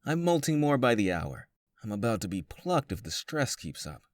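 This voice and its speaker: A man voicing a fussy, frazzled bird who runs a guild in an animated comic dub, exclamatory and flustered in manner. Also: on the low side, normal speed, no room sound. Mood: stressed, irritated.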